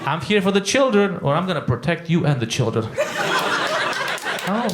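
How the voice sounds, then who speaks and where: A man's voice: a little high, big pitch swings, normal speed, reverberant, very noisy. A man doing stand-up crowd work in a comedy club, teasing audience members and laughing through his jokes.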